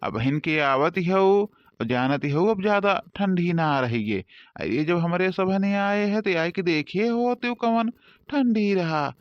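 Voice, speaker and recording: The recording is dull and close, with little room sound. A man plays a cartoon village farmhand with an Awadhi accent. He grumbles and argues in rustic, colloquial banter, his voice a little high. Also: lively intonation, slow, dry recording. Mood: defensive banter. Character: grumbling Awadhi village farmhands idling over cane cutting. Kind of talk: rustic cartoon comedy dialogue.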